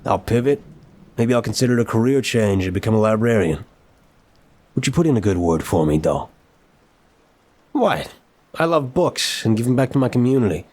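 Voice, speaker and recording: A man playing a suave, playful young mafia boss in an intimate audio roleplay, teasing and smooth-talking, leaning on words for emphasis. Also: mid-range voice, expressive, normal speed, pauses often, a little mellow, natural voice. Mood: smug, teasing.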